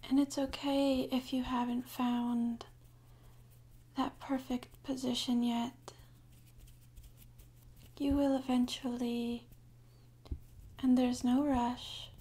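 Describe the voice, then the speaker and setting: A woman speaking softly and half-whispering in a gentle, soothing, unhurried way, as an ASMR guide leading a sleep meditation. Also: sits high, level tone. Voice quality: soft, breathy, half-whispered.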